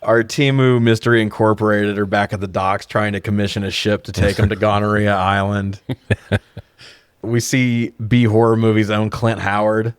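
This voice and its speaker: A man's voice: lowish voice, level tone, moderate pace, clean tone, no room sound, studio quality. A man co-hosting a podcast, giving a casual, comedic, sweary recap of a movie in slangy talk. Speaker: podcast co-host.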